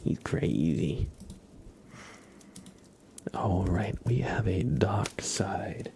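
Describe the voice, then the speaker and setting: Casting: male ASMR livestream host, very low and creaky, playful, whispering softly to viewers. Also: expressive, a little hiss or hum. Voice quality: breathy, often whispered. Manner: playful, unhurried, talks to his cat.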